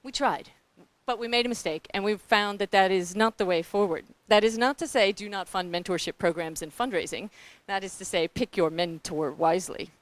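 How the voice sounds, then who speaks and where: A woman's voice: mid-range voice, normal speed. Blunt New Zealand woman on a panel. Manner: blunt, candid and animated, with asides to the audience. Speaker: panelist from a grantmaking foundation. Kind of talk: conference panel talk.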